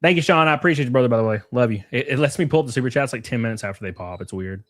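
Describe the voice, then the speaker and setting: A man's voice: medium pitch, racing, dry recording. A man hosting a stream, giving blunt, rambling and profane reaction commentary. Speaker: streamer host.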